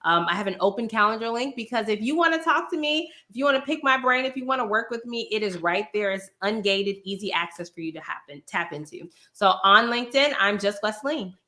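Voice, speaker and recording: A woman's voice: mid-range voice, animated, fairly quick, hardly any pauses, bright, forceful tone. A woman answering as a podcast guest in a conversational, emphatic way, often speaking in short repeated phrases. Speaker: podcast guest, entrepreneur and sales expert.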